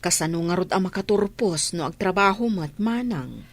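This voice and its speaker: A woman acting a humble Filipino-accented village mother in a radio play. Her voice is lowish and rough-edged, her delivery meek and hesitant. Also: animated, moderate pace. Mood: pleading. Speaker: radio drama actor. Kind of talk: Ilocano comedy radio drama acting.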